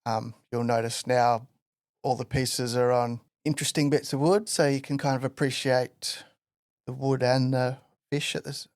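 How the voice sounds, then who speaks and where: A man's voice: mid-range voice, moderate pace, dry recording, clean studio sound. A man from Western Australia, a guest artist telling his story in a casual podcast interview, loose and full of fillers. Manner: relaxed rambling storyteller with many fillers.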